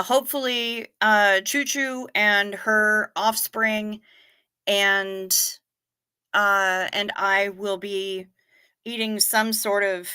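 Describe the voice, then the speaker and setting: A woman's voice: medium pitch, bright, forceful tone, clean tone, no echo. A woman in her fifties hosting a casual livestream, reading chat comments aloud in a chatty, wry way with casual swearing. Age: 50s. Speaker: livestream host.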